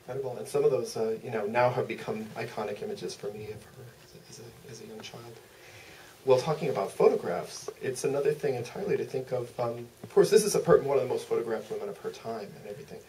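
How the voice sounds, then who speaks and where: A man's voice: mid-range voice, fast, dark tone. A man moderating a Q&A, thinking aloud in long, hesitant, meandering sentences. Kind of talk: thoughtful, loosely phrased interview questions. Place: theater stage at a public Q&A. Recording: distant mic, faint room noise.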